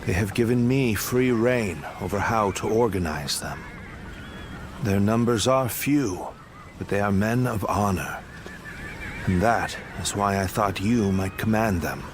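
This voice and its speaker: An actor playing an earnest, honorable army commander in a video game, speaking in a measured, sincere way. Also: male voice, on the low side, background noise, close to an everyday voice. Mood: earnest, trusting. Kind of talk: English video game dub acting. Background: music.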